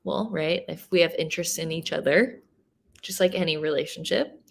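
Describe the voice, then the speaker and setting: Young woman, gravelly, relaxed, chatty, podcast guest. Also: sits low, moderate pace. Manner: laughs often, relaxed and chatty. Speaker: guest athlete. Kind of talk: casual podcast chat.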